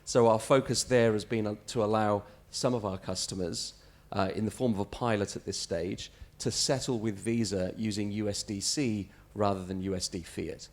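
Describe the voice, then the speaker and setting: A man with an Australian accent speaking on a conference panel, answering in a measured corporate way with small restarts. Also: fairly low pitch, fairly even intonation. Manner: measured, corporate, frequent self-corrections.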